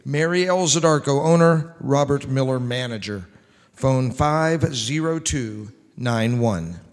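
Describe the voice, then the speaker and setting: Male radio show host, middle-aged, old-time announcer style, scripted, measured pace, long hall reverb. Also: medium pitch, lively intonation. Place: large wood-panelled hall, on stage. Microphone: stand microphone.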